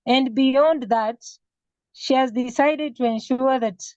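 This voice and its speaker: A woman from Kenya hosting an online meeting in a slightly high voice. She talks in a measured way and fills pauses as she goes. Her audio comes through a dry, dull video call connection. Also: darkish tone, dry recording. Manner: measured, with frequent pauses and fillers. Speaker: webinar host.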